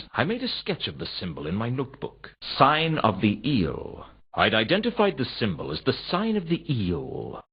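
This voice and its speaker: A dull, lo-fi game recording of a hard-boiled detective. His husky voice narrates in laconic film noir fashion, dry and world-weary, with very wide swings in pitch. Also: exaggerated voice. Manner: deadpan, laconic, wry. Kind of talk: scripted first-person video game narration in film noir manner.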